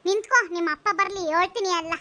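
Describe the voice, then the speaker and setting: A woman's voice: extremely high pitch, fairly even intonation, fairly quick, sharp tone. A woman playing a bossy, loyal village neighbour in a rural melodrama, full of exclamations and scolding. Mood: indignant.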